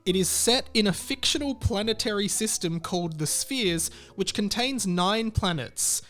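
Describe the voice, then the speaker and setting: A man's voice: sits high, animated, measured pace, fairly bright tone. A man giving a casual, opinionated album review as the host, talking conversationally with wry amusement. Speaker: music reviewer and show host. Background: music under the voice.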